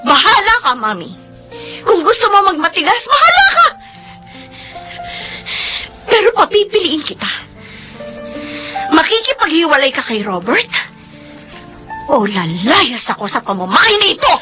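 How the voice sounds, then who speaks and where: A woman's voice: extremely high pitch, very animated, unhurried, pauses often, soft background music, exaggerated voice. A woman playing a hot-headed, protective daughter in a melodramatic radio drama, emotional and confrontational. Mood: tearful, furious. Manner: emotional, tearful shouting and sharp confrontation. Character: hot-headed, defiant daughter protective of her widowed mother.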